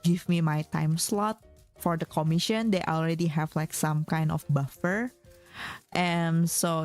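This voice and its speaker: Young woman, non-native English, unhurried casual streamer chat, faint background music. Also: fairly low pitch, darkish tone. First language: not English. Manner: chatty and rambling, reads chat aloud, laughs often. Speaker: vtuber streamer.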